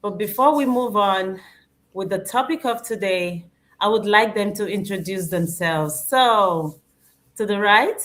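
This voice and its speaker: A woman hosting a live stream, speaking in a measured, earnest way with some restarts. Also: mid-range voice, fairly bright tone.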